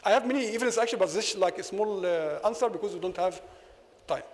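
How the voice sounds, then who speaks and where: A high-voiced man with an Egyptian accent lectures in accented English. He argues his case and keeps asking the listeners rhetorical questions. His voice rings with long reverb in a mosque hall. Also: quick pace. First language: Arabic. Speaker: imam.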